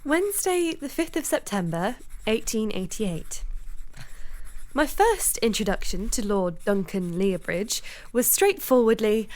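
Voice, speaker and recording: A woman's voice: mid-range voice, lightly acted. An actress playing a polite, dreamy young Victorian lady, formal and earnest in a period radio drama. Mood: reflective. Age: young adult.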